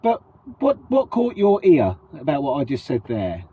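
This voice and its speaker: Sniffly British man with a husky voice. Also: mid-range voice, huge pitch swings, moderate pace, dark tone. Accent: British English. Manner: chatty, rhetorical, asks the viewer questions. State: has a cold, sniffly. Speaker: vlogger. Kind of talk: casual to-camera chat.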